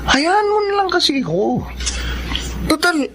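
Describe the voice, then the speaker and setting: A man's voice: extremely high pitch, big pitch swings, measured pace, fairly bright tone, heavily acted. An actor playing a pushy, pleading young man, a spoiled younger brother, with a Filipino accent in a radio drama. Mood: smitten, insistent. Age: young adult. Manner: insistent, wheedling. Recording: noisy radio drama with background ambience.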